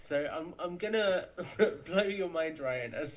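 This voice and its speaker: Higher-voiced young British man, slightly muffled audio. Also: expressive, measured pace. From United Kingdom. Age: young adult. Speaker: podcast guest. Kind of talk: casual chat.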